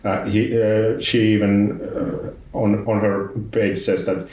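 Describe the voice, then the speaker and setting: A man with a Finnish accent hosting a podcast in casual conversation, speaking haltingly with many restarts. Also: fairly low pitch, level tone, darkish tone. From Finland. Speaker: podcast host. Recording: roomy sound, distant from mic.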